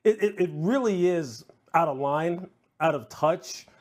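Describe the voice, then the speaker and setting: A man's voice: a little high, expressive, unhurried. A man giving earnest, discursive sports analysis on a TV panel, repeating phrases for emphasis as he thinks aloud. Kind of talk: unscripted TV panel commentary.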